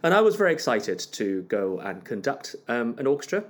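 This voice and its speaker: A man's voice: mid-range voice, big pitch swings, fairly quick. A man from Hong Kong whose first language is Cantonese. He is a conductor telling stories as a podcast guest, chatty and self-deprecating. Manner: modest, chatty storyteller with laughs and self-deprecating humor. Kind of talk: casual interview chat.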